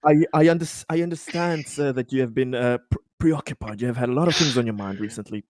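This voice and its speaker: A man voicing a flustered, halting officer who is formal and anxiously polite to his leader in a tabletop game. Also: mid-range voice, a little mellow, dry recording, studio quality, close to an everyday voice. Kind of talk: improvised tabletop roleplay.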